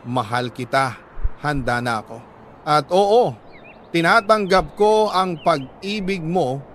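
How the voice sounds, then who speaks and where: A Filipino man with a fairly high voice reads a story in the first person as a radio storyteller. His delivery is sentimental and deliberate, broken into short phrases with pauses. There is light noise behind him. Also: a bit bright. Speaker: radio storyteller reading a listener's letter.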